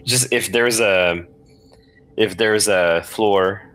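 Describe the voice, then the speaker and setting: Male podcast guest, very low register, casual player in an improvised game, halting and thinking aloud. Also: fairly bright tone, rough recording.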